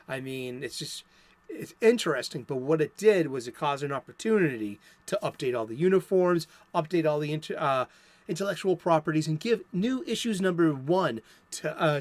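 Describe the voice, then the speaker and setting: A man's voice: a little high, animated, moderate pace, no echo. A man with a Boston accent hosting a casual solo comic book talk show, rambling with many fillers and restarts. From Boston area, USA. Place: home studio.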